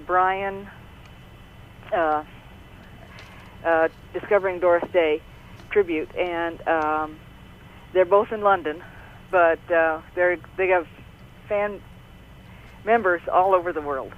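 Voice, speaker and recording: A woman fan on the phone, chatting eagerly with a few halting repeats. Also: on the low side, steady tone. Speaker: fan club member on the phone. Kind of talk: casual phone chat. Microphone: phone line. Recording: tinny phone line.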